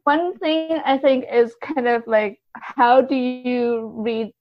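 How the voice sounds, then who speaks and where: A woman with a fairly high voice talks through ideas as a podcast guest. Her manner is informal and hedging, peppered with "kind of" and "like". The sound is very dry and slightly muffled. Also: steady tone, slow, dry recording. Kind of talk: casual literary discussion.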